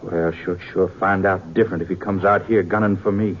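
An actor playing a proud, stubborn American rancher, terse and plain-spoken, in a 1950s Western radio drama. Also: male voice, low-pitched, steady tone, brisk. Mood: stubborn, defiant. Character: stubborn proud male rancher, determined to fight. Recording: noisy, narrowband 1950s radio recording.

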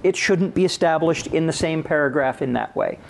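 A man with a somewhat high-set voice co-hosts a casual discussion. He offers critique in plain, colloquial language. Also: fairly quick, gravelly, a little hiss or hum. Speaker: podcast co-host giving critique.

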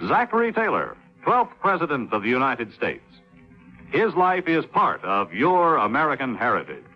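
A man's voice: medium pitch, wildly swooping pitch, moderate pace, faint music behind. A man announcing in a formal, presentational, scripted 1950s radio style. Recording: muffled old radio recording.

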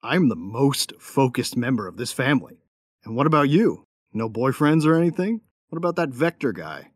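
A man's voice: medium pitch, big pitch swings, moderate pace, dry recording, studio quality. A man playing a tough young gunfighter and protective big brother in a sci-fi audio drama, matter-of-fact and wry. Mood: teasing, exasperated. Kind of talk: first-person narration and dialogue in sci-fi audio drama.

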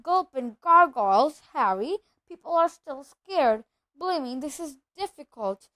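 Woman voicing a folksy, blustering giant man, high-pitched. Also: expressive, measured pace, fairly bright tone. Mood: grave, uneasy. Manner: folksy, colloquial, emphatic. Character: gruff giant man, blustering but kind-hearted.

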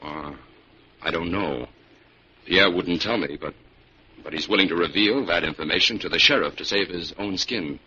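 An actor playing an authoritative city detective in old-time radio style, firm, measured and persuasive. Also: male voice, sits low, sharp tone. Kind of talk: 1940s radio acting. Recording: dull old radio recording, faint noise.

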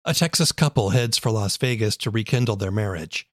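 A man's voice: fairly low pitch, a little fast, no echo. Clean, dry-sounding male podcast host. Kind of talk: scripted introduction and interview questions.

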